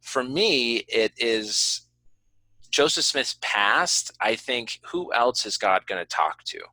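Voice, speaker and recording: A man's voice: mid-range voice, lively intonation, takes it slowly, bright, forceful tone, no room sound, rough recording. A man hosting a podcast, talking casually in an earnest, explanatory way and qualifying his points as he goes. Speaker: podcast host. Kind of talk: casual conversational interview talk.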